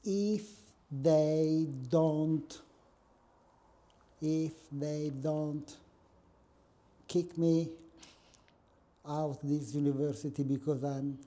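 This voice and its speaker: A man's voice: on the high side, steady tone, extremely slow, pauses often, warm tone. A middle-aged man from northern Italy with an Italian accent, a guest professor joking dryly about himself in a casual interview, recorded in a small office room. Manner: dry joking, self-mocking.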